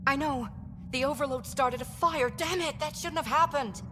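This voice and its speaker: An actress playing an earnest, devout alien starship engineer in a video game, speaking with urgent, slightly hesitant sincerity. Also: female voice, high-pitched, moderate pace, in a large hall, noisy background, close to an everyday voice. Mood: frustrated.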